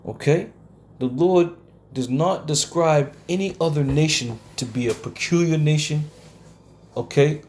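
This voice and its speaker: Brief: male Bible teacher, slow and deliberate, combative and scolding, mid pitch, faint room noise. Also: darkish tone. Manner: combative, scolds listeners, keeps asking "okay?"; slow and deliberate, some coarse language. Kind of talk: home Bible study lecture.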